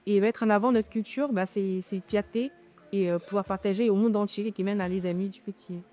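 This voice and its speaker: A young woman of eighteen with a mellow, warm voice gives hesitant answers full of fillers. Faint music plays behind her. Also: medium pitch, fairly even intonation, fairly quick. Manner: shy, hesitant, many fillers. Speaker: student model. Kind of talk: interview answers.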